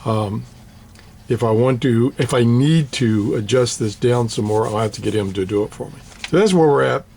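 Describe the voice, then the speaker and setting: An older man, slightly hoarse, casually showing his radio antenna and its paperwork with many fillers and restarts. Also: mid-range voice, normal speed, a little mellow, slight background noise. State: slightly hoarse, clearing throat. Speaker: ham radio hobbyist vlogger. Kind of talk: casual show-and-tell.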